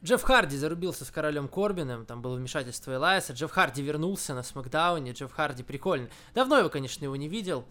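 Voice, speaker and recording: A man co-hosting a podcast, chatting casually in a low-key, subdued way. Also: animated, fast, hardly any pauses, bright, forceful tone. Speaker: co-host of a wrestling podcast. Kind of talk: casual chat.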